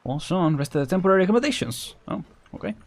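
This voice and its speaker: A man's voice: sits high, sing-song, fast talker, gravelly. A young man giving casual live game commentary, musing and reacting as he plays. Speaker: game streamer.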